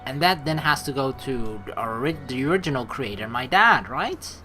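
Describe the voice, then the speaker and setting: Casting: casual male gamer-narrator, medium pitch, very varied delivery, slangy asides over game music. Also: expressive, normal speed, pushed and bright. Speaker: let's play host. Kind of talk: game commentary, reading story text aloud.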